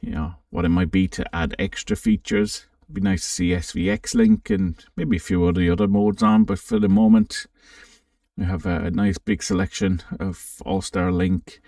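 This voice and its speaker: A man giving a casual, unscripted software walkthrough, thinking aloud with some hesitation as he presents. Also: low voice, expressive, moderate pace, studio quality. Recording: roomy, slightly distant microphone.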